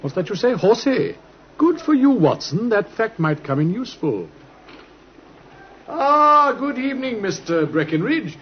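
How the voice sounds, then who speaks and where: A shrewd, urbane British detective, speaks in a fairly high voice that swoops with theatrical courtesy. The sound is a muffled old radio play. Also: male voice, big pitch swings, measured pace, a little hiss or hum. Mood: purposeful, animated. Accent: British English. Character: shrewd, courteous, self-assured male detective. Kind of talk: 1950s radio drama acting.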